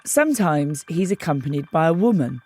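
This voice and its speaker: A hoarse woman with a low voice narrates a documentary podcast. She speaks in a measured, deliberate way, letting each detail land. Her voice sounds croaky and a little worn. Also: lively intonation, mellow. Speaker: host and investigative journalist.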